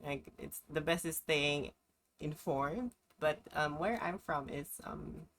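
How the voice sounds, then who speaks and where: A woman's voice: deep voice, takes it slowly, slight background noise. A young woman from the Philippines, chatting casually on a live stream, English not her first language, rambling with many ums and little laughs. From Philippines, Benguet region.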